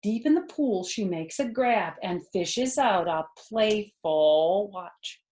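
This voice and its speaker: A woman's voice: mid-range voice, slow. A woman in her fifties, a grandmother reading aloud to children expressively, with simple asides and questions. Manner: expressive, explains words simply, asks listeners questions. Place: living room, indoors.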